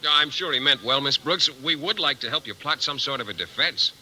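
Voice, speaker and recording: Earnest, sharp-toned radio schoolteacher. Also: male voice, medium pitch, animated, fairly quick. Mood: well-meaning. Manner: hesitant, literal, earnest. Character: earnest, naive, penny-pinching male biology teacher. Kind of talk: 1950s radio sitcom acting. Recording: lightly crackly old radio recording.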